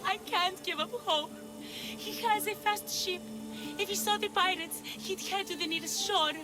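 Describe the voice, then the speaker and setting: A woman's voice: very high voice, a little slow, over music, rough recording. A female actor playing an anxious but determined fisherman's wife in a video game cutscene, earnest and imploring. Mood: pleading, hopeful.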